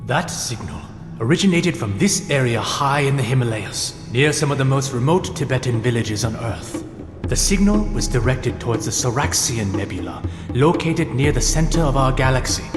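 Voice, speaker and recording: An actor playing an erudite Chinese military scientist in a sci-fi audio drama, speaking in a measured, formal, lecturing way. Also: male voice, medium pitch, lively intonation, close to an everyday voice. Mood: grave, explanatory. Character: erudite Chinese male military scientist, chief of a secret initiative. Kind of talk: full-cast sci-fi audio drama acting. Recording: echoey reverberant hall, loud music underneath.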